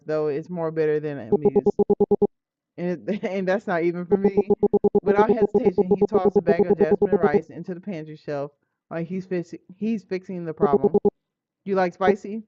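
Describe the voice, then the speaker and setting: Casual streamer narrating a visual novel, expressive and slangy, slightly muffled audio over soft music. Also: mid-range voice. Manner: informal, expressive, breaking into asides and slang. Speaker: game streamer. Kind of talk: reading a visual novel aloud with casual live commentary.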